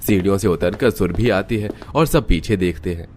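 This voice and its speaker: A man's voice: sits low, moderate pace, a little mellow. A man reading scripted storytelling narration for a cartoon family tale.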